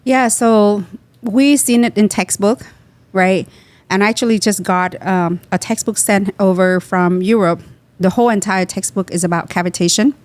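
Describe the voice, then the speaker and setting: A woman's voice: medium pitch, moderate pace, dry recording. A woman from Vietnam, a guest dentist, explaining her practice in a podcast interview with an earnest, teaching manner and loose grammar. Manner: explanatory, earnest, drops small grammar words.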